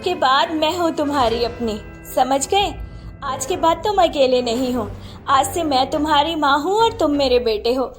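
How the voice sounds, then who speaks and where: A woman voicing a kind young woman in a cartoon story, gentle and motherly. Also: high-pitched, lively intonation, normal speed, soft background music. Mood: reassuring.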